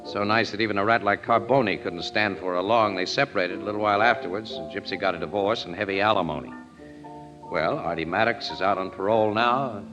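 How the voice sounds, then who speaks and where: An actor playing a streetwise, good-hearted newspaper photographer in an old radio crime drama, talking in casual slang and taking charge. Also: male voice, on the low side, quick pace, natural voice. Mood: wry, concerned. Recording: muffled old radio recording with music.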